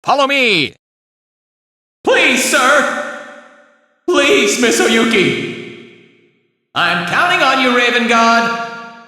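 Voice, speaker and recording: High, bright, slacker game hero. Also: male voice, expressive, very slow, pauses often, husky, dry recording, studio quality, exaggerated voice. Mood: casual guiding. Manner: drawling, easygoing, casual, with trailing tags. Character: laid-back, playful, slacker male fighter and guide. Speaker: video game voice actor. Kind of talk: animated video game voice lines.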